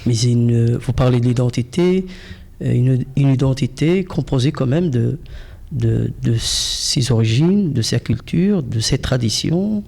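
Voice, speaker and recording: A male interviewer with a gravelly, husky, mellow voice. He asks his questions in searching phrases with pauses and murmurs agreement often as his guest talks. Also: mid-range voice, animated. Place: TV studio.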